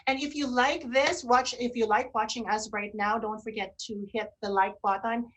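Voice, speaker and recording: A woman's voice: on the high side, fairly even intonation, normal speed, fairly bright tone. A woman, enthusiastic and cheery, bantering casually as co-host of a live online radio show.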